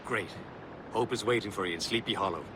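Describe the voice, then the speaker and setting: Low-voiced brisk comrade played by a man, loud noise. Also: close to an everyday voice. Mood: brisk, instructing. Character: brisk, wry Assassin comrade giving orders. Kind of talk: video game drama acting.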